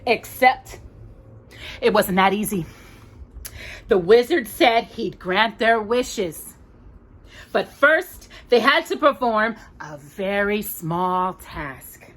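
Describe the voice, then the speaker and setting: A woman telling a children's story in an expressive, playful way, with casual asides. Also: medium pitch, slow, pauses often.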